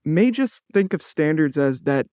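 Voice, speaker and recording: A man's voice: fairly high pitch, big pitch swings, unhurried, darkish tone, no echo, clean studio sound. A man hosting a conversational interview, casually explaining and putting questions to his guest. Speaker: interviewer and show host.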